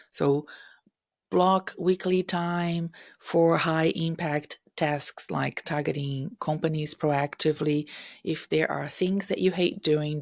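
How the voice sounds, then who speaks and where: A middle-aged woman hosting a career-advice podcast, hoarse and husky from illness, speaking directly and coaching listeners with frequent "okay?" checks. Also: low voice, dry recording, studio quality. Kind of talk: solo podcast advice talk. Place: home room.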